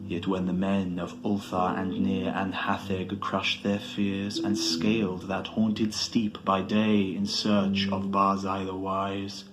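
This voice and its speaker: A man narrating a scripted horror story in a solemn, measured, dramatic way, as a podcast storyteller. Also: deep voice, monotone, poor audio. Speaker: podcast host reading a story. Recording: music behind the voice.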